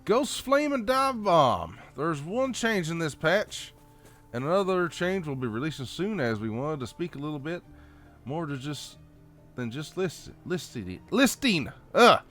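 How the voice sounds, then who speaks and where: A man with a Southern American accent reads update notes aloud in a folksy, halting way, adding off-the-cuff comments. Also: on the high side, sing-song, fairly bright tone, faint music behind. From Southern United States. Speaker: gaming channel host.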